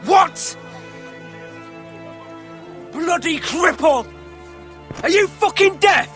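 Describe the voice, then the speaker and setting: A man's voice: very high voice, animated, extremely slow, a bit bright, faint music behind, heavily acted. A man playing an arrogant, cruel young lord in a dramatic video game, sneering and petulant as he taunts. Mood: enraged. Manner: sneering, taunting, profane, imperious.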